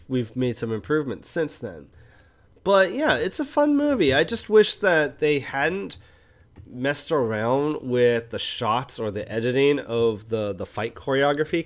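A man co-hosting a film podcast in casual conversation, often halting mid-thought as he talks. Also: mid-range voice. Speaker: podcast co-host and film reviewer.

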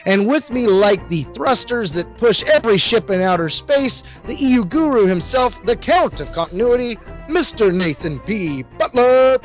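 Male podcast host, hoarse and scratchy, showy scripted intro style, music behind a dull recording. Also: high-pitched, lively intonation, moderate pace, hardly any pauses.

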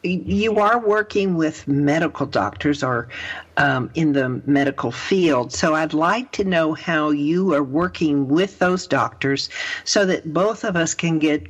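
A woman's voice: low-pitched, a little slow, breathy edge. A woman hosting a conversational interview in an encouraging, agreeable manner. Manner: encouraging, often murmurs agreement. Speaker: radio show host. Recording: dull lo-fi radio audio.

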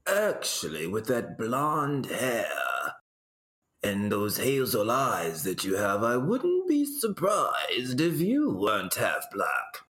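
An actor playing a rambling, deadpan male storyteller telling absurd family history in a comedic audio drama, with deliberate dramatic pauses. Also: medium pitch, heavily acted. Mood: musing.

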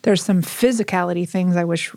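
A woman in her forties talking candidly and reflectively as a podcast guest, speaking in quick bursts and laughing easily. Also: lowish voice, a little mellow, dry recording, clean studio sound. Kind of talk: candid personal conversation.

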